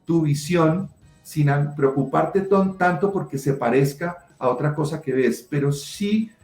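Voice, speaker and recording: A middle-aged writer answering interview questions passionately, with many restarts. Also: male voice, on the high side, a little slow, darkish tone, soft background music. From Colombia. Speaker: writer and guest. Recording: roomy echo.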